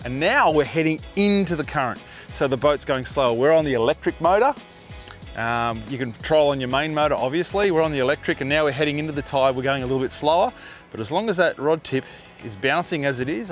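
A man's voice: on the high side, lively intonation, fast, soft background music. A middle-aged man with an Australian accent giving casual, matey instructional fishing commentary outdoors on a boat. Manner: informal, matey, explanatory. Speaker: fishing show host. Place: outdoors on a small boat on open water.

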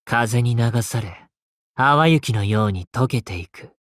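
男性声優がゲームで演じる、悲哀に満ちて丁寧な物言いの、冷徹で詩的な騎士の弓使い。. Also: male voice, medium pitch, fairly bright tone, dry recording, clean studio sound. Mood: gentle, melancholy. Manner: slow, formal, poetic delivery. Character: sorrowful, courtly male knight archer, poetic and coolly merciless. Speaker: voice actor in a mobile game. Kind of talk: theatrical game battle lines. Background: game music.

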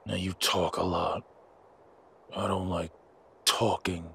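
A man playing a slow-witted, menacing henchman guarding his boss in an audio roleplay, with a gruff, raspy voice and blunt, halting speech. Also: deep voice, level tone, bright, forceful tone, full character voice. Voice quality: raspy, gravelly.

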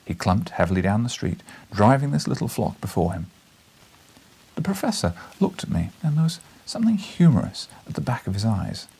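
A British man in his thirties reads and talks as a storyteller. His voice is lowish and husky, with lively swings in pitch. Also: moderate pace, poor audio. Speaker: audiobook reader. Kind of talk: expressive story narration and casual address. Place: small book-lined living room, indoors.

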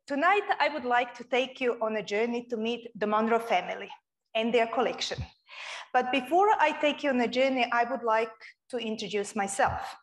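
Expressive woman from Croatia lecturing. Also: on the high side, moderate pace. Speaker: guest speaker. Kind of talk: public lecture. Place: lecture room.

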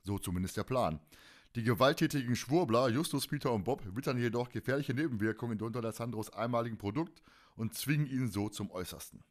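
Man, medium pitch, podcast co-host, casual chat, colloquial, jokey. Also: expressive, fast.

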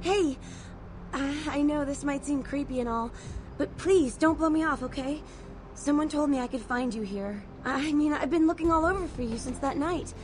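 A woman's voice: high voice, normal speed, noisy background, close to an everyday voice. A woman playing an eager, clingy young devotee in a video game, nervous and earnest as she pleads.

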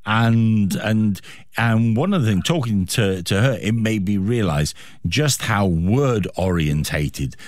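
A man's voice: on the low side, no echo, clean studio sound. A man in his sixties hosting a radio interview in a chatty, self-deprecating way. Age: 60s. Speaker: radio host.

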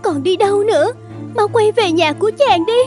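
A woman voicing a gentle, pleading young ghost bride in an animated folktale, with polite old-fashioned speech. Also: very high voice, moderate pace. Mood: urgent, anxious. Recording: music under the voice.

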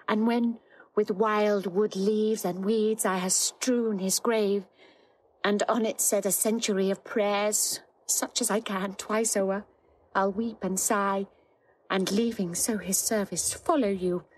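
A woman's voice: mid-range voice, a little mellow, breathy edge, rough recording. An actress playing a grieving young woman disguised as a faithful page boy in a Shakespearean audio drama, speaking verse in a plaintive, earnest way.

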